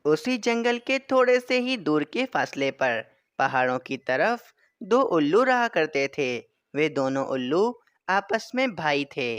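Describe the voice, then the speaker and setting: Smooth steady storybook narrator. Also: medium pitch, no room sound.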